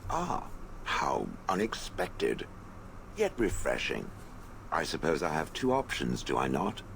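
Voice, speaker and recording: Deep, gravelly, haughty stone golem. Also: takes it slowly, a little hiss or hum, heavily acted. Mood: dry acceptance. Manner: haughty deadpan, formal phrasing, addresses people as "it". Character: ancient sardonic stone golem, contemptuous, drily bored. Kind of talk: video game character acting.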